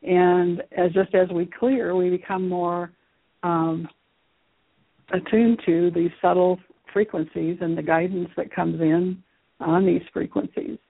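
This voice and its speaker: A woman speaking as a guest teacher and author in a radio interview, explaining things in a measured way. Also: fairly low pitch, fairly even intonation, vocal fry, breathy edge, no room sound. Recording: muffled phone-like radio line.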